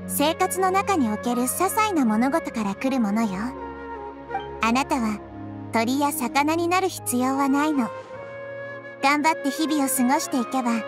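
Wise little goddess girl, high soft-mannered voice, curious and thoughtful, anime game, light music behind. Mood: gentle, encouraging. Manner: gentle, thoughtful, measured feminine speech. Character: small childlike wise girl deity, gentle, curious. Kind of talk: Japanese anime game voice acting.

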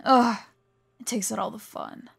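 A woman plays a bratty young female villain from a comic dub. Her voice is fairly high. She complains and dismisses others with open scorn. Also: fairly even intonation, measured pace, creaky, slightly rough, exaggerated voice. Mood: annoyed. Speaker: voice actor in a fan comic dub.

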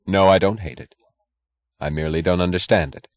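A man's voice: deep voice, fairly even intonation, fairly quick, highs cut off, close to an everyday voice. A man playing a terse, cold, weary husband in an audiobook, with clipped, indifferent delivery. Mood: guarded, calm.

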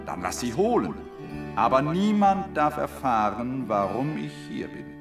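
An actor playing a solemn, authoritative messenger of God in a Bible audio drama, firm and declarative. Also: male voice, mid-range voice, big pitch swings, a little slow, over music.

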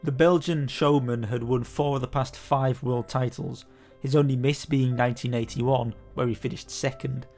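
A man narrating a scripted sports history documentary in a steady, measured way. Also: mid-range voice. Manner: steady, measured storytelling delivery. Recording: voice-over with faint background music.

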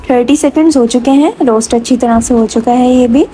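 A woman hosting a cooking channel, calmly talking through a spice recipe step by step. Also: a little high, a little fast, breathy edge, faint music behind, poor audio. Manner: calm, instructive, explains each step.

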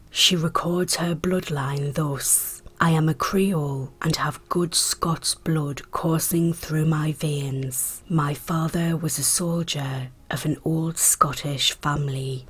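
A woman narrates a history script in a low voice. It is very breathy and croaky, often close to a whisper. She reads slowly and in a measured way, as for a documentary. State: croaky voice after a hospital stay.

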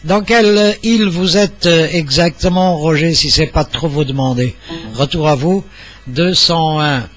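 A man's voice: a little high, faint music behind. Older man, polite radio operator. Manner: formal, polite, halting. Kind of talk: amateur radio contact, courteous exchange. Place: small indoor home radio room. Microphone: studio mic on boom arm, headset.

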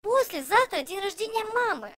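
Squeaky, chirpy little girl pig. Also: moderate pace, dry recording, clean studio sound. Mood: curious, unsure. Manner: chirpy, expressive, childlike questioning. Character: cheerful, insistent little girl pig, young schoolchild. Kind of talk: children's cartoon dubbing.

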